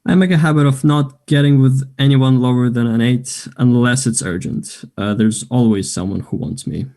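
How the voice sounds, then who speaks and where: A cocky, dismissive young man, performed by a man in a play reading. His voice is dark and relaxed at a middle pitch. The delivery is blunt and sardonic, in short clipped replies. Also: normal speed, natural voice. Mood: scornful, dismissive. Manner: curt, sardonic. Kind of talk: play table read.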